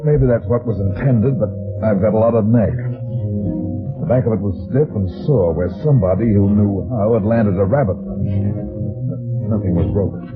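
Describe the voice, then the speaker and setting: A man's voice: on the low side, lightly acted. An actor playing a wry, wary, heavyset private detective, narrating his case tersely in a radio drama. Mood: brooding, wry. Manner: deliberate, terse, dry storytelling. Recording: old phone-like radio recording, music behind.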